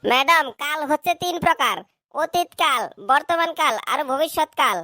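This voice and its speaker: High-voiced cheeky cartoon schoolboy played by a woman. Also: normal speed, sharp tone, dry recording, clean studio sound. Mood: eager. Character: cheeky schoolboy pupil, eager and clever. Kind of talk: cartoon comedy dialogue.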